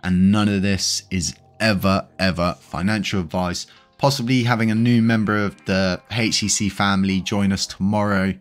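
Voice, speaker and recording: A man's voice: lowish voice, steady tone, moderate pace, soft background music. A British man hosting a crypto channel, talking in a rambling, casual way with lots of fillers. He is congested and slightly wheezy. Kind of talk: casual news commentary.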